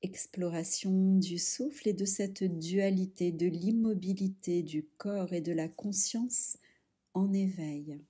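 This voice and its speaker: A woman leading a guided yoga nidra relaxation, soothing and hushed, speaking in short instructional phrases with long pauses. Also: on the low side, breathy edge.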